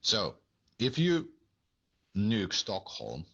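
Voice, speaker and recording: A man with a Finnish accent giving conversational political analysis in a halting, deliberate way. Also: on the low side, huge pitch swings, fairly bright tone, husky, muffled recording. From Finland. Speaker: interview guest, academic commentator.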